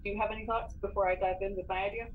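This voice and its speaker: A woman with a medium-pitched voice talks casually as a tabletop game player, working things out with others. Clear noise sits behind her. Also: fairly even intonation, very fast, phone-quality sound. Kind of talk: casual collaborative game chat.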